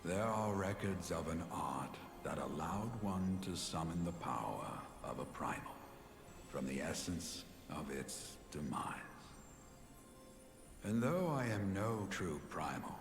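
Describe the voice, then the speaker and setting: A grave old sage in a fantasy game cutscene. His deep voice rises and falls expressively through formal, archaic phrases that fade to a hush, all in a long cavernous reverb with music behind. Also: exaggerated voice. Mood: loving farewell. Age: older. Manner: grave, archaic, measured, with breathy hushed phrase endings. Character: solemn, tender old sage, a dying grandfather. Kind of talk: scripted dramatic game cutscene acting.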